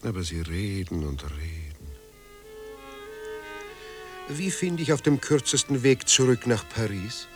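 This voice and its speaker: An actor playing a dead writer in a radio play, wry, restless and formally courteous. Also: male voice, fairly low pitch, measured pace, long pauses, faint music behind, close to an everyday voice. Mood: wry, aggrieved. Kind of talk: radio play acting, monologue and dialogue.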